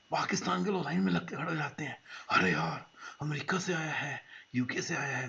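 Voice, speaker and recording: A man's voice: a little high, fairly bright tone, highs cut off, slight background noise. A man hosting a reaction video, commenting sarcastically and mocking what he hears. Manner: mocking, puts on accents and laughs.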